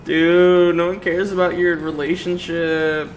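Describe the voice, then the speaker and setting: A man's voice: fairly high pitch, little pitch movement, unhurried, poor audio. A man giving casual let's play commentary as a gaming video host, griping with impatient sarcasm. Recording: faint game music behind.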